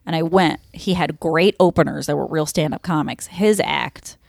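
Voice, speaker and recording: Woman, comedian, casual chat, lowish voice, dry close sound. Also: moderate pace, gravelly, dry recording. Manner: animated storytelling, trailing off mid-sentence.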